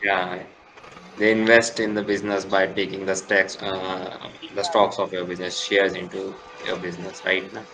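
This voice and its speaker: A man's voice: low-pitched, level tone, normal speed, poor audio. A young man with an Indian accent speaking casually in a group discussion. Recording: clear background noise.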